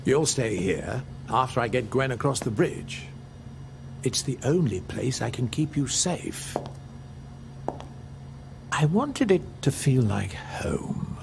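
An actor playing a possessive, menacing older man in a video game, brooding and theatrical, with a gravelly voice. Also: mid-range voice, very animated, slow pace, long pauses, background noise, full character voice. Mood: coaxing. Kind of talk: dramatic video game acting.

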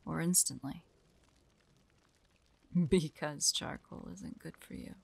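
Guarded, slow-speaking young huntress, acted by a woman. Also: sits low, no echo, exaggerated voice. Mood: wary, puzzled. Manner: terse, suspicious, prickly, with breathy hushed asides. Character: guarded, proud young female hunter, wounded and freezing. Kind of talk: dramatic audio roleplay, addressed to an unheard listener.

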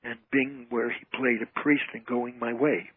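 A man's voice: medium pitch, flat delivery, normal speed, breathy edge. A man chatting casually as a guest in an interview, with an emphatic, effusive way of talking. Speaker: guest, entertainer and author. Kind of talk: casual phone-in interview chat. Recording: narrow, tinny phone line.

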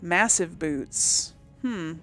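A woman's voice: fairly low pitch, very slow, sharp tone. A woman casually commenting on a role-playing video game, thinking aloud and laughing often. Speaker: let's play host. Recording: voice over game audio with faint music.

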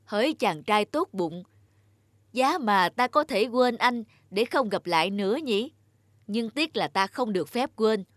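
A woman's voice: a little high, animated, measured pace, dry recording, clean studio sound. A woman voicing a solemn, commanding Grim Reaper in a folk-tale cartoon, speaking in a formal, stately manner. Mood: solemn gratitude.

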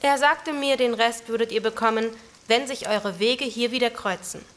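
A woman's voice: on the high side, moderate pace, a bit bright, lightly acted. A woman playing a polite, matter-of-fact fantasy messenger with formal, old-fashioned delivery in an audio drama. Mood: polite, businesslike. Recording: clear background noise.

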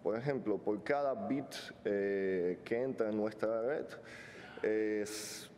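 A man's voice: slow, dark tone. A man in his thirties who is not a native speaker, giving a technical conference talk with many fillers and joking asides. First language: not Spanish. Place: large conference hall stage. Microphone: podium mic. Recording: hall reverb, faint rumble.

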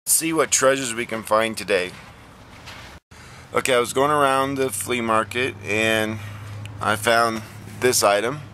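A man's voice: mid-range voice, a little slow, pauses often, pushed and bright, a little hiss or hum. A man hosting a casual vlog about flea market finds, talking plainly with many ums and restarts, recorded on a handheld phone camera. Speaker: flea market reseller vlogger.

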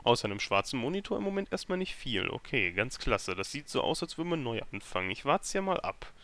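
A man's voice: mid-range voice, sing-song, fast talker, fairly bright tone. A man hosting a let's play with casual, self-deprecating and grumbling commentary into a microphone. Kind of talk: casual video game commentary.

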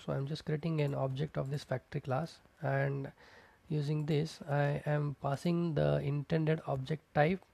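A man's voice: on the high side, gravelly, warm tone. A man teaching programming over a screen recording, explaining step by step with fillers and repeated terms. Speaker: programming instructor. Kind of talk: screencast coding tutorial. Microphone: close mic, voice-over on screen recording.

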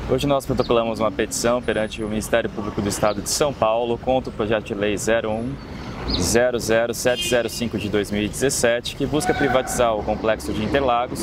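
A Brazilian man in his thirties gives a formal, lawyerly explanation to camera. His voice is mid-pitched, recorded outdoors with loud traffic noise behind it. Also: steady tone, a little fast. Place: outdoors on a city street. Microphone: lapel. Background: loud city ambience, vehicles.

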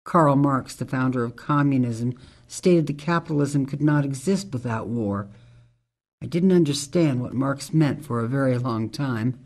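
Radio drama: tough female ex-military ranger, played by a woman, measured, earnest monologues, low-voiced for a woman. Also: a little mellow, full character voice. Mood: solemn, earnest. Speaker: actor in an amateur radio drama.

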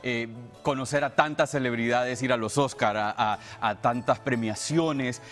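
Male TV presenter, 30s, from El Salvador, earnest and thankful farewell speech, mid-pitched, light music underneath. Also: steady tone, unhurried, a bit bright. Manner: earnest, grateful. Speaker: TV presenter saying farewell to the show. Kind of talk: heartfelt unscripted farewell talk. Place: television studio. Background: music bed.

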